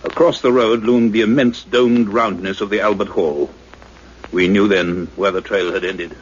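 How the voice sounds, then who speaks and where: A man playing an earnest scientist doctor in a radio play, narrating his own adventure in a measured, suspenseful, literary way. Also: fairly low pitch, steady tone, natural voice. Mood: grave suspense. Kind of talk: dramatic first-person radio play narration. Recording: dull noisy radio play recording.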